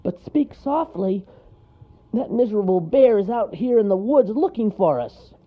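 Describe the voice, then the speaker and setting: A man voicing a small, excitable and fretful male woodland animal, easily startled, in a children's story. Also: high voice, unhurried, narrow, tinny audio, pitched above the performer's own voice. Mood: busy, fretful.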